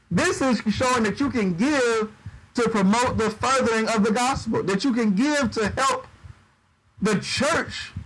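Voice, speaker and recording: A man giving livestreamed Bible teaching in a relaxed preaching style, reading scripture aloud and riffing on it, often repeating words as he goes. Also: high voice, a little hiss or hum, rough recording. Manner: conversational preaching, reads scripture aloud and riffs on it; uses Southern-style 'y'all', stumbles and repeats words. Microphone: livestream microphone.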